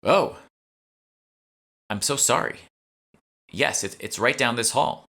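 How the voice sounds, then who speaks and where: A man's voice: medium pitch, slow, gravelly, pushed and bright, pitched above the performer's own voice, heavily acted. A man voicing a polite, earnest, easily flustered woman curator in an audiobook. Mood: flustered concern. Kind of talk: audiobook character dialogue.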